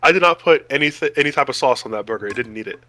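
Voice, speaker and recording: A man's voice: medium pitch, expressive, very fast, pushed and bright. A man chatting casually and enthusiastically as a podcast co-host. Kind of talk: casual chat about food.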